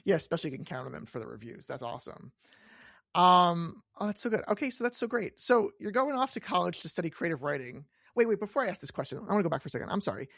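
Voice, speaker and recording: A man's voice: high voice, very animated, fast, gravelly, a bit bright, no room sound, studio quality. A man hosting a podcast, chatting casually and informally and often restarting his thoughts mid-sentence. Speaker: podcast host, interviewer. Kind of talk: casual chat.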